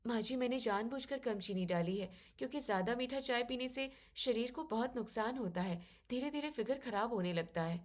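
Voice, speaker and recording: A young, educated daughter-in-law in a family drama story, played by a woman. She speaks softly and politely, yet reasons firmly and with quiet determination. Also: a little high, moderate pace, hardly any pauses, close to an everyday voice. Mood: earnest. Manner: polite but firm and reasoning.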